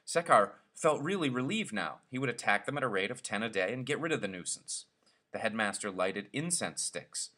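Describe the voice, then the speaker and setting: A man's voice: fairly low pitch, animated, fairly quick, bright, forceful tone. A man narrating a short story expressively, as a reader telling it aloud.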